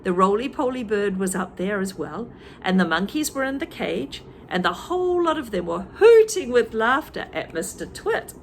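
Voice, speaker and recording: A teacher reading a children's book aloud, with chatty asides to young listeners. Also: female voice, mid-range voice, big pitch swings, moderate pace, a little hiss or hum.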